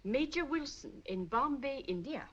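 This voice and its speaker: A prim, exacting tobacconist. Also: female voice, high-pitched, expressive, normal speed, highs cut off. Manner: prim and exact, proud of detail. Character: fussy, precise tobacconist woman. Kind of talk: 1940s radio acting.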